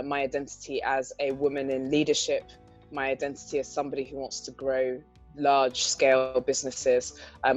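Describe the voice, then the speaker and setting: Thoughtful English woman with a London-area accent. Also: rumbling low. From England. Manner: thoughtful, articulate, frequent "um" fillers, light laughs. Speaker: guest entrepreneur. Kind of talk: conversational interview answers. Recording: dull lo-fi audio with faint guitar music.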